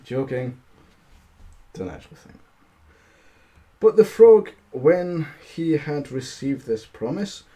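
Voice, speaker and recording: Male livestream storyteller: unhurried, soft-edged mid-pitched voice, stumbling, self-mocking, crude casual asides. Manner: self-deprecating, stumbling over words. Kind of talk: live fairy-tale reading with crude casual asides.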